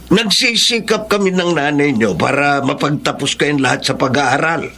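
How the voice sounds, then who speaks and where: A man playing a caring, hardworking middle-aged fisherman father in a Filipino radio drama, with a gravelly voice. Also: fairly high pitch, expressive, moderate pace, sharp tone, heavily acted. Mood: earnest, encouraging. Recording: faint background noise.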